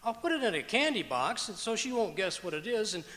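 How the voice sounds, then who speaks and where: A man's voice: sits high, sing-song, a little fast, pushed and bright, soft background music, full character voice. A man playing an eager, dim-witted, self-pleased neighbor in an old-time radio comedy performed on stage. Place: performing arts center auditorium, live stage. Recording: echoey auditorium stage hall.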